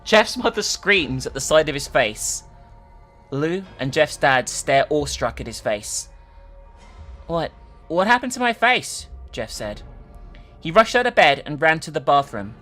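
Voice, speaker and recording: A woman narrator gives a dramatic reading with casual commentary. Her voice is bright and forceful with very animated pitch, and faint music plays behind her. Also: a little slow.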